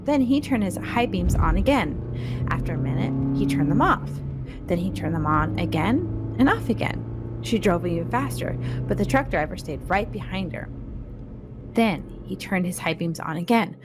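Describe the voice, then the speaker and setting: A woman's voice: fairly low pitch, very animated, moderate pace, over loud music. A woman co-hosting a read-along, telling a scary short story and addressing listeners informally and directly. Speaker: podcast co-host and story reader.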